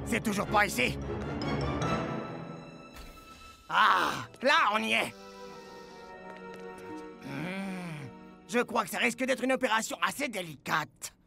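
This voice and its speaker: A man's voice: high-pitched, expressive, unhurried, vocal fry, pauses often, sharp tone, soft background music, exaggerated voice. An actor dubbing a vain male football star in a French cartoon, fussing over his looks. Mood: searching. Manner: vain, fussy, self-admiring.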